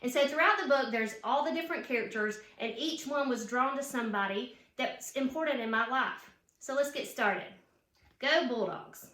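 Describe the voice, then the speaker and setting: A woman's voice: sits high, moderate pace, a bit bright. A woman reads a children's picture book aloud with chatty asides, putting questions and emphatic cheers to young listeners. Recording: roomy, echoing room sound.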